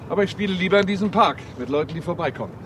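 A man's voice: sits high, lively intonation, rapid-fire. An actor playing a blunt, self-assured male tennis champion in a radio play. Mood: calm, frank. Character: confident, blunt male tennis champion, easygoing park player. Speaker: tennis player. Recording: distant, noisy outdoor sound.

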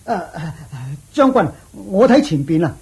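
A woman voicing an excitable young palace guard in a radio drama, exclaiming in a husky voice. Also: mid-range voice, a little slow, full character voice. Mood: excited.

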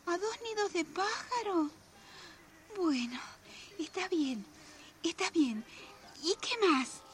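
A woman's voice: very high-pitched, big pitch swings, slow, heavily acted. An actress playing an older Argentine wife and mother in a radio drama, sensual, coquettish and theatrical, with a Rioplatense accent. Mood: aroused, yearning.

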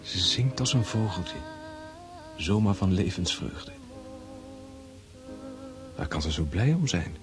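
A man's voice: deep voice, lively intonation, takes it slowly, pauses often, music in the background. An actor playing a wistful, brooding nobleman thinking aloud in a radio drama monologue.

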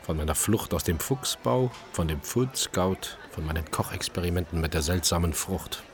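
Deep-voiced brooding male narrator. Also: animated, moderate pace. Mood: reflective. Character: brooding male first-person narrator of a crime story. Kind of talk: scripted narration in radio drama.

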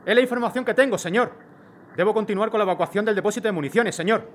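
A man's voice: high-pitched, quick pace, slight background noise, lightly acted. A man playing a deferential young artillery auxiliary, reporting in clipped military fashion in an audio drama. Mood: urgent, deferential.

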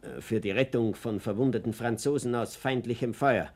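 Male actor as middle-aged postman, mid-pitched, dry, sly, outwardly dutiful, radio drama. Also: moderate pace, natural voice. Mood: dry, weary. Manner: dry, deferential on the surface, quietly sly. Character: plain-spoken, dryly defiant middle-aged male money postman. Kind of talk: German radio play acting.

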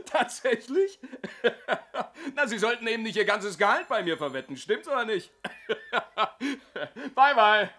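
An actor playing a slick, jovial, mocking bookmaker in a German radio play, with a jocular, chuckling manner. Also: male voice, very high voice, sing-song, takes it slowly, bright, forceful tone, full character voice. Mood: jovial, brash.

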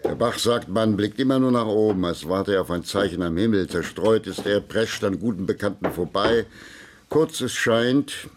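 An actor in a German radio drama playing a blunt, gossip-bearing musician who is dry, pointed and scolding. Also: male voice, fairly low pitch, moderate pace, slight background noise, lightly acted. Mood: dry, needling.

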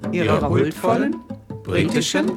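A theatrical narrator in a radio drama. He declaims slowly with huge swings of pitch, over loud plucked guitar. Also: huge pitch swings. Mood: declamatory. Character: theatrical ballad-like narrator setting scenes. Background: plucked guitar music.

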